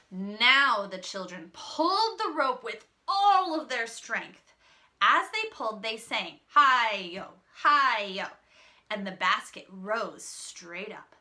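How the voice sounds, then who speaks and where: A woman storyteller with a fairly high, bright voice. She reads slowly for children, in a dramatic, teacherly way, stepping out of the tale for short asides. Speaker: read-aloud storyteller.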